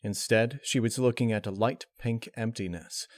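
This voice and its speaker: A man narrating a scripted dark fan fiction story with dramatic storytelling delivery. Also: lowish voice, steady tone, moderate pace, dry recording, clean studio sound.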